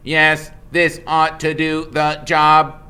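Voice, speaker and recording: A man's voice: on the high side, monotone, takes it slowly, bright, forceful tone, smooth, soft background music, pitched above the performer's own voice. A man voicing a polite, literal female robot from a storybook, speaking in a precise, matter-of-fact way. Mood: friendly.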